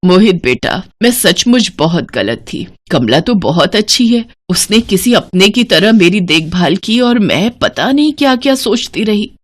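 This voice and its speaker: A woman's voice: mid-range voice, very animated, unhurried, hardly any pauses. A woman voicing an elderly mother-in-law, contrite, grateful and affectionate, in a moral story. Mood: remorseful, grateful.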